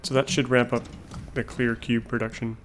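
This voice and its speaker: A man's voice: mid-range voice, level tone, normal speed, faint music behind. Man musing aloud over a factory game. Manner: musing, thinking aloud while playing. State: losing his voice. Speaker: gameplay video host. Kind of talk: casual let's-play commentary.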